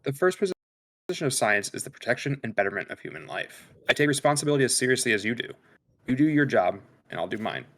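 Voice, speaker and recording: A man playing a calm, detached spaceship science officer with a measured, clinical delivery in a sci-fi script table read. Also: medium pitch. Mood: defensive, measured.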